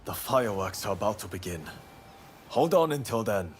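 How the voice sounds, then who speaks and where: An actor playing a composed samurai rebel in a video game, speaking in a measured, businesslike way as he plots. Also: on the low side, expressive, lightly acted. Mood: calm, conspiratorial. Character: composed male samurai rebel plotting an assassination. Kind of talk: video game cutscene acting.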